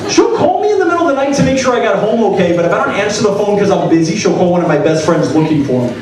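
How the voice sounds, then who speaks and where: A man in his twenties performing a stand-up set on a comedy club stage, punchy and profane, with deadpan punchlines. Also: high-pitched, rapid-fire, crowd noise, noisy background. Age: 20s. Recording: echoey club sound from a distance. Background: audience laughter.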